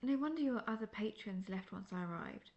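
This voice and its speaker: A woman playing a seasoned, world-weary female monster hunter in a fantasy audio roleplay, speaking in a measured, formal, dryly condescending way. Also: medium pitch, expressive, vocal fry, dull audio. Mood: dry, wry.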